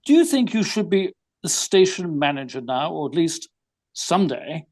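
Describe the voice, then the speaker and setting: A man's voice: on the high side, very animated, moderate pace, darkish tone. Man acting as a vain detective, pompous, formal, dry wit, swooping intonation, audio drama. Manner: pompous, formal, drily witty. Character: pompous, vain British male gentleman detective.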